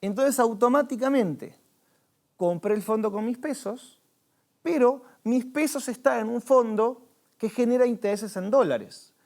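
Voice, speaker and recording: A man's voice: extremely high pitch, measured pace, darkish tone. A man with an Argentine Spanish accent, a financial adviser patiently explaining savings with examples and some hesitant restarts. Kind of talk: explanatory conversation.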